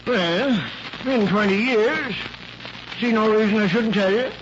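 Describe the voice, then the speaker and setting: An actor playing an older, folksy, chuckling retired country doctor who rambles and repeats himself in 1940s radio drama. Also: male voice, sits high, a little slow, dull audio. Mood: reflective, hesitant. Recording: noisy old radio recording.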